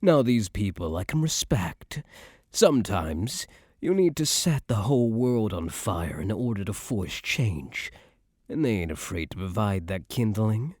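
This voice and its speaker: Man playing a slow, husky mafia boyfriend. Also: fairly low pitch, sing-song, darkish tone, dry recording, clean studio sound. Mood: musing, confiding. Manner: slow, smug, tough-guy slang with tender pet names. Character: cocky young mafia heir, doting but menacing boyfriend. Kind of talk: intimate ASMR boyfriend roleplay.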